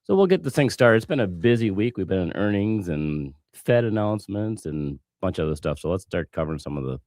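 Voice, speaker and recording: A man hosting a casual finance podcast, hoarse from a cough, relaxed and jokey. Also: low voice, fast, dry recording. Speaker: podcast host.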